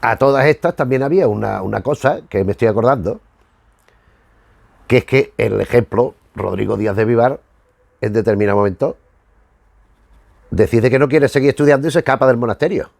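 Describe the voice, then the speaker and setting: A man's voice: medium pitch, fairly quick, gravelly, pauses often, darkish tone, no echo. A middle-aged man with an accent from Spain, giving informal, rambling commentary as a host, often correcting and repeating himself for emphasis. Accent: Spanish from Spain. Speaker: host of a retro television review show.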